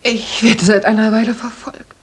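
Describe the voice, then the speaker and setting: A woman's voice: on the high side, flat delivery, normal speed, slightly rough. An actress playing a worried, polite young lady in a German radio drama, recounting carefully and earnestly. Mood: pleading, anxious.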